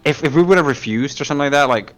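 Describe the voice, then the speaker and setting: A man playing a tabletop game, chatty and quick to laugh. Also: fairly high pitch, fairly bright tone, soft background music. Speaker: player. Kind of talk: casual tabletop game chat.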